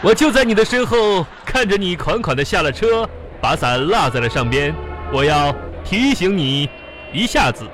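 An actor playing a gallant, showy smooth-talker in a comic radio drama, with drawn-out, courtly phrasing. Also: male voice, high-pitched, very animated. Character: smooth-talking suitor, a self-styled film producer, gallant and showy. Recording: music bed behind the voice.

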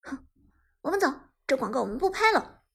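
Woman voicing a gruff, hot-tempered male boss. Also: fairly high pitch, lively intonation, quick pace, no room sound, full character voice. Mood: angry. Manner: gruff, curt, grudging.

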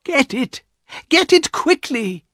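A desperate old woman from a storybook, put on by a narrator, her voice pitched high and swinging widely. She pleads with urgent, emphatic insistence, feverish with grief for her son. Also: sing-song, slow, fairly bright tone, no echo, clean studio sound, heavily acted. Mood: insistent, desperate. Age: older. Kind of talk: audiobook character acting.

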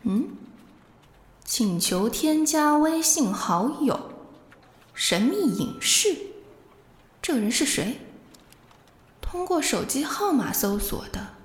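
A woman's voice: high voice, very animated, slow, long pauses, heavily acted. A woman playing an ordinary young office worker, polite and wary, narrating and acting in an amateur suspense audio drama. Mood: puzzled, wary. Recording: echoey, light music behind.

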